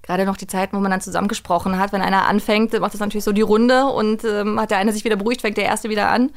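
A woman's voice: mid-range voice, steady tone, very fast. A middle-aged woman, chatting casually and talkatively as a voice actress being interviewed. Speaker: guest, voice actress and dubbing director. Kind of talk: casual interview chat, telling work anecdotes.

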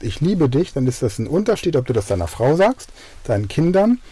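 A middle-aged man from Germany talks eagerly about his work, sliding easily into anecdotes. His voice is dark and mellow at a medium pitch. He sounds relaxed and at ease. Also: no echo. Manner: enthusiastic, anecdotal. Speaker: podcast host and learning coach. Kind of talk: podcast intro and radio interview.

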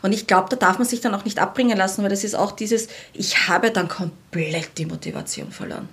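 A woman's voice: mid-range voice, fairly quick, fairly bright tone. A woman chatting as a podcast guest, reflecting openly on herself and voicing her inner thoughts as quotes. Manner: self-reflective, telling anecdotes with quoted inner dialogue. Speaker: podcast guest, language learner. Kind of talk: casual podcast conversation.

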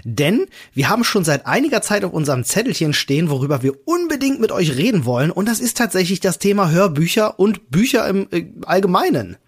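Co-host: young male, slightly high and raspy, slangy, chatting casually. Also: big pitch swings, fairly quick, hardly any pauses. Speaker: podcast co-host. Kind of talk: casual chat.

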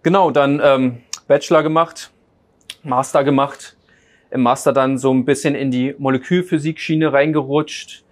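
A man's voice: medium pitch, fairly even intonation, moderate pace. A man from Berlin in his thirties chats about his path as a guest. He talks loosely with frequent fillers and restarts, in an ordinary mid-range voice. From Berlin, Germany. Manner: rambling, many fillers and restarts. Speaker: data scientist guest. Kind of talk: casual interview chat.

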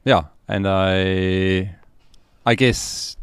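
A man from Austria in his forties, with a low, smooth and resonant voice. He speaks in a casual, friendly way, often pausing and restarting. The recording is close and dry. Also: fairly even intonation, dry recording. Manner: hesitant, many fillers and restarts, friendly. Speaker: podcast guest, entrepreneur. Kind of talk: casual interview conversation.

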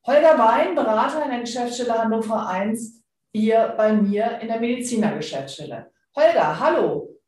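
A woman hosts a podcast and leads a round of introductions with short, friendly prompts. Her voice sits slightly high. The room is very echoey, with sound bouncing off the walls. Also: lively intonation, moderate pace, a little mellow. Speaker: podcast host. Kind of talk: hosting a team introduction round.

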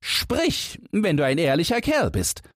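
A man's voice: a little high, wildly swooping pitch, unhurried, fairly bright tone, no room sound, clean studio sound, voice pushed up, exaggerated voice. A man voicing a naive, fearless simpleton boy, earnest and guileless, in a fairy-tale audiobook. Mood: bold challenge. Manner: guileless, puzzled, plain-spoken.

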